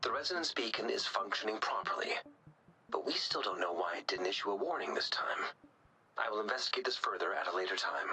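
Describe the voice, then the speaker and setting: Low-voiced analytical researcher. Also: male voice, lively intonation, pushed and bright, slight background noise, exaggerated voice, poor audio. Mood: calm, explanatory. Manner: measured, explanatory. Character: polite, analytical male researcher, calm and measured. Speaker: game character actor. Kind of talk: scripted video game dialogue. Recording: music behind the voice.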